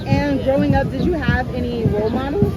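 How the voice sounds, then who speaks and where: A woman interviewing people on a city street, asking brisk, upbeat questions. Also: high voice, low-quality recording. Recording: loud music and crowd on a city street.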